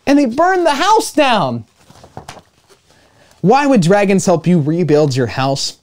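Animated man reading a children's storybook. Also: high-pitched. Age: 20s. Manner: playful, dramatic emphasis with pauses. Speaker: storytime host reading a picture book. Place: small indoor room with home studio gear.

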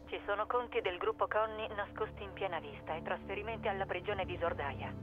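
An actress playing a calm, businesslike intelligence officer in a video game, controlled and matter-of-fact. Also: female voice, mid-range voice, brisk, narrow, tinny audio, natural voice. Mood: cool, controlled. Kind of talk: video game acting, briefing delivery. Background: music.

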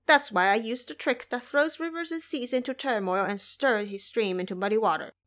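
A woman's voice: fairly high pitch, a little fast, fairly bright tone, natural voice. A woman voicing a brash, boastful male monkey sage in an audio drama, speaking emphatically. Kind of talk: audio drama character acting.

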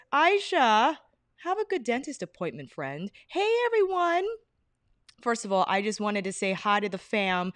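A woman's voice: medium pitch, no echo, studio quality. Bubbly young woman livestreaming at home. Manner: bubbly, greets viewers by name with bursts of excitement. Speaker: beauty livestream host. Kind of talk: casual livestream chat. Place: apartment living room, fan humming. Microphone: desk podcast mic in front.

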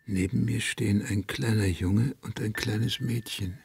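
A man playing a brooding, unhinged madman in a German audio drama, slow and intense, with a husky voice. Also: fairly low pitch, steady tone, darkish tone, no echo, exaggerated voice. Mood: fearful, hushed.

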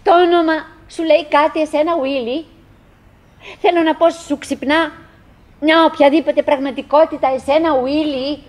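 An actress plays a middle-aged woman in a radio play, with a fairly high voice. She chatters on to her husband with dogged cheer and an undertow of wistfulness. Her delivery is theatrical and halting, circling back over her own phrases. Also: steady tone, darkish tone, close to an everyday voice. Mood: pleading, insistent. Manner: theatrical, halting, musing with repetitions.

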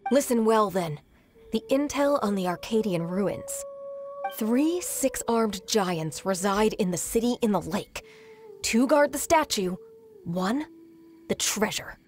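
Young informant, medium-pitched. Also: female voice, lively intonation, slow, music in the background. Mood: cryptic, businesslike. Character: young female underworld informant, grateful, frank, businesslike. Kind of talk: video game voice acting.

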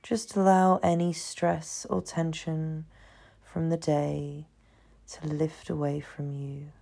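A woman leading a guided meditation in a soothing, hushed manner, leaving long pauses between phrases. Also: low-pitched, level tone, vocal fry. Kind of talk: guided meditation, slow scripted narration.

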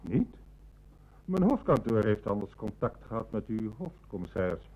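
An actor with a Netherlands Dutch accent playing a courteous bank manager in an old radio drama. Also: male voice, fairly low pitch, very animated, normal speed, a little mellow. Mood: genial, polite. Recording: dull old radio-play recording.

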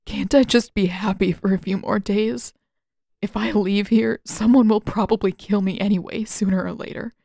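Woman doing a hurt lonely teen boy in an audio story, halting, wounded, reluctant, dry recording. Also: mid-range voice, fairly even intonation, vocal fry, dark tone, dry recording. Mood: miserable, bitter.

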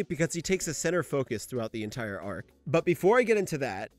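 A young man giving casual scripted manga commentary as a video essay host, informal, sweary and emphatic. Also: on the high side. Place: voice-over. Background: faint music.

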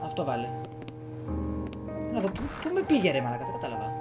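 A man's voice: medium pitch, huge pitch swings. Young Greek man commentating over game music. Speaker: gaming streamer. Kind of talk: casual video game commentary. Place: small room at home. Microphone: desktop USB microphone.

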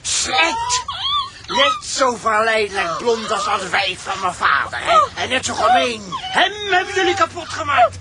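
An unhinged petrol station attendant in an old radio thriller. His voice is high and very animated, repeating itself obsessively. The recording is clearly noisy. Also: heavily acted. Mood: crazed, furious. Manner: halting, repetitive, agitated. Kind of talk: Dutch radio play acting.

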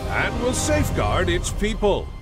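An actor playing a grandiose, patriotic military propaganda announcer, declaiming scripted game narration. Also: male voice, high-pitched, wildly swooping pitch, measured pace, exaggerated voice. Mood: rousing. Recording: loud music bed behind voice. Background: loud music.

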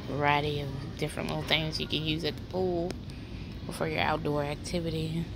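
A woman with a low, unhurried voice walks a big store with her phone camera, reading out deals and prices in a chatty, practical tone. The phone mic picks up the steady hum of the store around her. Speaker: shopping vlogger. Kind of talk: walk-through store haul narration. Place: large indoor retail store. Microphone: handheld phone camera. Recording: store ambience noise behind. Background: store ambience.

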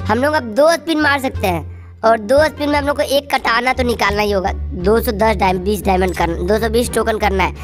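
High-pitched voice-changed woman gaming host. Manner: chatty, repeats phrases, pleading and exclaiming. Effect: voice changer raising the pitch. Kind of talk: excited gameplay commentary in casual chat. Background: background music.

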